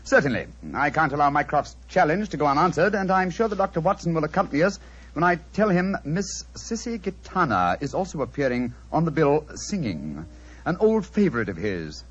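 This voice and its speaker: An actor playing a composed, shrewd retired gentleman detective in an old time radio drama, urbane and measured. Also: a little high, sing-song, breathy edge, heavily acted. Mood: amused, decisive. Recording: dull vintage radio recording.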